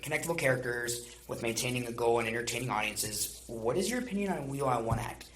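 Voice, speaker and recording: Young male interviewer, podcast host, medium pitch, slightly noisy recording. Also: animated, quick pace, fairly bright tone. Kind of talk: interviewing guests.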